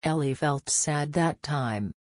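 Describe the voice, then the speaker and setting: A woman's voice: low-pitched, slow, a little mellow, no echo, clean studio sound. A woman narrating a children's cartoon story in a plain storytelling manner.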